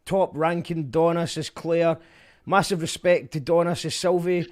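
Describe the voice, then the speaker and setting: A man's voice: on the high side, fairly even intonation, measured pace. A man hosting a livestreamed fundraiser, chatting with guests and reading out viewer comments. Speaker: host.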